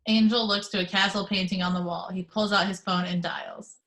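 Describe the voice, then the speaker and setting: A woman's voice: medium pitch, a little fast. Woman reading screenplay directions. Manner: steady, matter-of-fact reading. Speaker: action description reader. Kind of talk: reading screenplay action lines.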